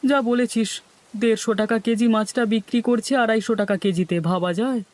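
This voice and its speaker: A man's voice: high voice, fairly even intonation, normal speed, a little mellow, natural voice. A man voicing a grumbling, complaining village shopper in a cartoon. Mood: aggrieved. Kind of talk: cartoon dialogue.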